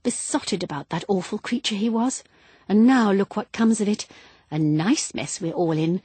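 A woman voicing an excitable, prying, plump middle-aged woman in a storybook reading. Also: mid-range voice, moderate pace, slightly rough, no echo, pitched above the performer's own voice, natural voice. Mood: eager gossip. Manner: eager, breathless, prying.

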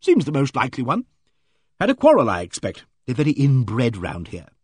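A man voicing a loud, blunt, self-assured middle-aged woman who booms her opinions. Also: huge pitch swings, moderate pace, slightly rough, no echo, clean studio sound. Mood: brisk, booming. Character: booming, bossy, complacent middle-aged woman.

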